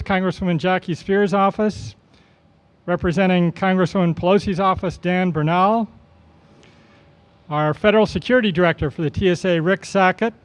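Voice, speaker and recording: A man with a high, smooth and resonant voice addresses a public ceremony as its host. His delivery is formal and measured, with slightly halting phrasing, like an official reading prepared remarks. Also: muffled recording. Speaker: airport official hosting a ceremony.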